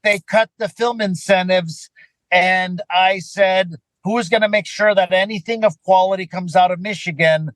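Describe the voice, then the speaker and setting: A man's voice: sits high, steady tone, moderate pace, a bit bright, no echo, low-quality recording. A man from Sweden, a native Swedish speaker, telling stories conversationally as an interview guest. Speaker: podcast guest, filmmaker and actor. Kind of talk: casual conversational storytelling.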